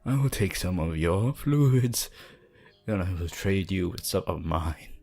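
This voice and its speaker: A man voicing a possessive, sinister male vampire in an ASMR roleplay, theatrical and menacing, with a breathy voice that often drops toward a whisper. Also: deep voice, expressive, darkish tone, soft background music, full character voice. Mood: gloating, commanding. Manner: theatrical, slow, menacing, archaic phrasing.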